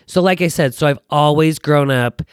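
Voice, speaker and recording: An American man in his thirties chatting casually as a podcast guest, telling personal stories in an animated, self-deprecating way. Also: fairly high pitch, normal speed, clear, resonant tone, no echo, clean studio sound. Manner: animated, self-deprecating joking with acted-out quotes. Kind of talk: casual chat, personal storytelling.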